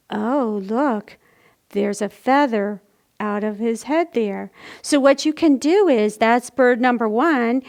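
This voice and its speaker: High voiced lady doing kids storytime crafts, takes it real slow, explains every step simply. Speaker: children's library storytime host. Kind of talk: craft instructions for young children.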